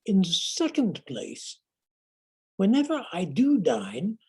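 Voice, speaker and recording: A woman playing a languid, witty young Victorian gentleman in a stage comedy, measured and haughty. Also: mid-range voice, wildly swooping pitch, husky, full character voice. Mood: disdainful.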